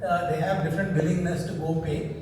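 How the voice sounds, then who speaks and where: A man with a high voice and an Indian accent lays out a product range to an audience question. He is heard from a distance in a very echoey hall. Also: fairly even intonation, a little fast, a little mellow. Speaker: company representative presenting products. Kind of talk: answering questions at a product launch. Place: large reverberant hall. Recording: echoey hall, distant mic, faint noise.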